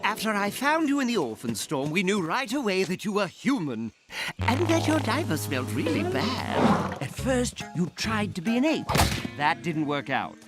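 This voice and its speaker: A man's voice: high-pitched, big pitch swings, normal speed, over loud music. A man voicing a sensible, fussy talking ape in a cartoon, an articulate and patient mentor. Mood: reassuring, explaining. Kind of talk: cartoon voice acting.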